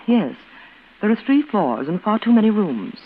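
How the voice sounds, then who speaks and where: An actress playing a cold, bitter, reclusive twin sister with a clipped, severe manner in an old-time radio suspense drama. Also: female voice, medium pitch, sing-song, moderate pace. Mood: aloof, resolute. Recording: noisy, tinny vintage radio broadcast.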